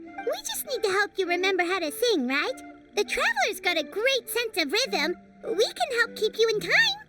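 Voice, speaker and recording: Game sprite sidekick, tiny and chirpy, very high squeaky voice. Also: female voice, huge pitch swings, over music, full character voice. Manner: chirpy, quick remarks.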